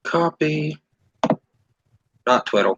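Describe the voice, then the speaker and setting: Lively, bright-voiced sardonic man. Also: fairly high pitch, slow pace, lo-fi sound. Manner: sardonic, blunt, sweary. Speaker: host. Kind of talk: casual commentary chat.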